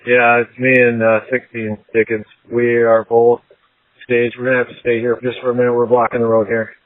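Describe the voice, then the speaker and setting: Male police officer, flat delivery, terse radio calls through a tinny, narrow channel. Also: medium pitch, normal speed.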